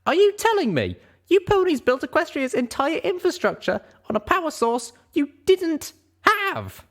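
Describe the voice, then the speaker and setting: A man voicing an excitable, exasperated young female pony adventurer in a storybook reading. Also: very high-pitched, moderate pace, voice pushed up, exaggerated voice. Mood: incredulous, exasperated.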